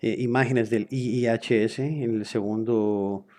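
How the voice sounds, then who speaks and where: A man's voice: mid-range voice, steady tone, measured pace, darkish tone. A man interpreting a webinar lecture into another language in real time, hesitant, with frequent fillers and self-corrections.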